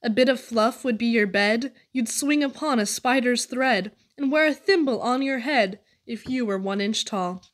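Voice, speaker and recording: A woman giving an expressive reading of children's poetry. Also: a little high, moderate pace, few pauses. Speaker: poetry reader.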